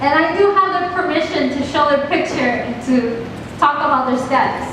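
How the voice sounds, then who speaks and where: A woman's voice: high-pitched, normal speed, noisy background. A woman from Mexico with a Mexican Spanish accent, giving an earnest, direct talk to a class and asking the students questions. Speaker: guest speaker to a class. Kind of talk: classroom presentation. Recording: echoey classroom from far off.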